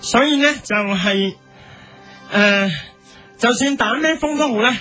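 A man's voice: very high voice, bright, forceful tone, faint music behind, heavily acted. A man playing a rambling, repetitive observatory chief in a parody news soundbite, with a Hong Kong Cantonese accent. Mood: bumbling. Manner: halting, repetitive. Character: rambling, bumbling male observatory chief giving a statement.

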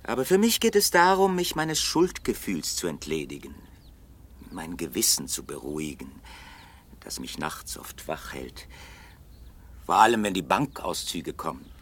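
An actor playing a wealthy, urbane young bachelor with a measured, drily ironic and polite manner, in a 1960s German radio play. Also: male voice, low voice, sing-song, fairly bright tone, slightly rough, exaggerated voice. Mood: probing, ironic. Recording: noisy 1960 radio play recording.

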